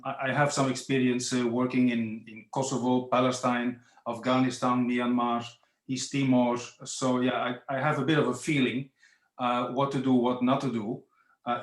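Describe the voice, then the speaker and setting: Flat-toned, halting man in echoey room. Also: mid-range voice. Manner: halting, repeats words. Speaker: panelist. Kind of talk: unscripted panel contribution. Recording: echoey room on webinar connection.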